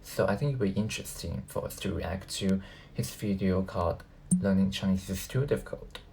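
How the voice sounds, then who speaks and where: A man who natively speaks Cantonese, giving casual reaction commentary as a video host, haltingly thinking aloud. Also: low-pitched, creaky, a little mellow, slight background noise.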